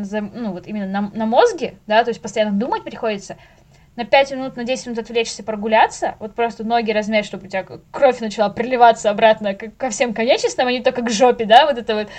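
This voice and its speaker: A young woman chatting casually as a podcast guest, telling things in slangy, animated speech with the odd English word. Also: fairly high pitch. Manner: animated storyteller, acts out little inner dialogues; slangy, drops in English words.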